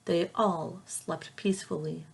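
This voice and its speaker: A woman reading a children's picture book aloud like a teacher, with expressive, rhythmic phrasing aimed at young listeners. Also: fairly low pitch, unhurried, gravelly, slightly rough, poor audio. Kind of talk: children's storybook read-aloud.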